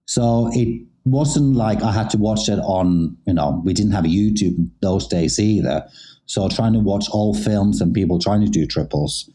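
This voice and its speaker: Deep, mellow middle-aged man. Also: a little slow. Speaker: interviewed athlete, former figure skater. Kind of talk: casual interview storytelling.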